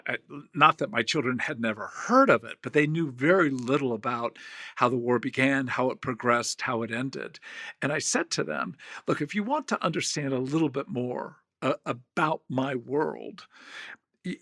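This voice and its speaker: A man's voice: mid-range voice, vocal fry, sharp tone, no room sound. Older male podcast host in his 60s, thoughtful storytelling tone, dry close studio sound. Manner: thoughtful, storytelling, hesitant restarts. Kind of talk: reflective podcast conversation.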